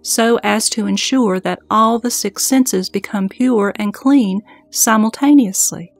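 A woman voices an earnest disciple in a scripture audio drama, formal and reverent in manner. The voice has a gravelly creak and sits close and dry, with music behind it. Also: medium pitch, measured pace, no echo. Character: earnest, reverent male disciple questioning his master. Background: background music.

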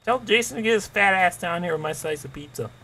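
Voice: medium pitch, animated, fast, sharp tone, a little hiss or hum, rough recording. A gamer chatting casually during online play in a silly, joking, sweary manner, with music behind. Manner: playful, silly, often laughing, swearing. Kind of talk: casual joking gameplay chat.

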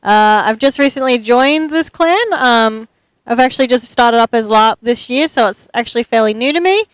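A woman's voice: on the high side, lively intonation, moderate pace, smooth, no echo. A young woman answering interview questions outdoors into a handheld mic, a little shy with fillers. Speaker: interviewee, new larp player. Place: outdoors at a convention.

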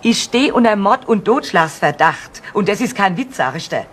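A woman's voice: mid-range voice, animated, moderate pace, lightly acted. A woman playing a brusque, foul-mouthed prostitute under suspicion in a 1970s German crime radio play, blunt and slangy. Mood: angry, frantic. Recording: noisy vintage radio drama recording.

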